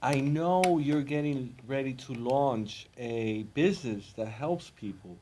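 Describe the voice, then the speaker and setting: A man hosting a talk show and working as a hypnotherapist, asking questions in a relaxed way with a few fillers. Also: mid-range voice, a little hiss or hum. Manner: slow dramatic delivery in the intro, then relaxed questioning. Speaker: host, hypnotherapist.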